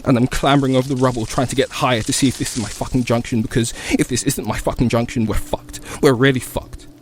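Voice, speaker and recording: A man's voice: mid-range voice, fairly even intonation, quick pace, a little hiss or hum, natural voice. Man acting a frantic, desperate disaster survivor. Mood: panicked, scared. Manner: frantic, repetitive, sweary. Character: panicked, desperate survivor lost in bombed streets. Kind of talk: dramatic stage monologue.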